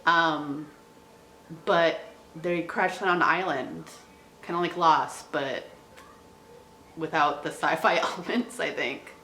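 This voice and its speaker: A woman's voice: low-pitched, steady tone, slow pace, pauses often, sharp tone, a little hiss or hum. A woman book vlogger chatting casually to the camera in an informal, slangy, hedging way. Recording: roomy indoor echo.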